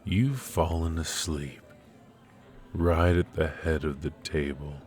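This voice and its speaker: A menacing dark warlord, played by a man, speaks in a profoundly deep voice. He drags out his words very slowly, silky and taunting, as a theatrical villain in an intimate ASMR fantasy roleplay. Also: fairly even intonation, faint music behind. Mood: smug, menacing. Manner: theatrical, taunting, drawn-out delivery with sighs and soft chuckles.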